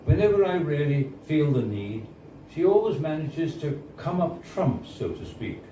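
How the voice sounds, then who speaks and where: Theatrical, animated man reading in an echoey bar. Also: on the high side, recorded from a distance. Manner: measured, theatrical orator with deliberate pauses. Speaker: reader of a story. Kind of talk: live dramatic story reading. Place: live on stage in a bar. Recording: echoey, noisy bar recording from off.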